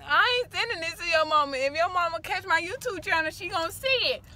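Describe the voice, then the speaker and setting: A young adult woman chatting casually for a vlog in an animated, teasing, slangy way, recorded on a phone inside a car. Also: very high-pitched, quick pace. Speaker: vlogger. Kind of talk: casual vlog chat with playful bickering. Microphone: phone camera at arm's length.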